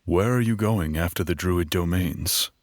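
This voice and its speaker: A man playing a courteous, cautious minstrel guide in a fantasy audio drama, polite and measured. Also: sits low, no echo. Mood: curious.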